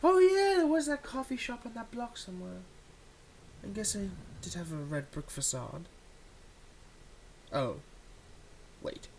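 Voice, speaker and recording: A performer acting out a dramatic supervillain whose medium-pitched voice swings widely between threat and soothing. The villain is theatrical and exasperated, with a soft heart under the menace, in an audio roleplay with noticeable background noise. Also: low-quality recording. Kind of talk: ASMR audio roleplay, one-sided dialogue to the listener.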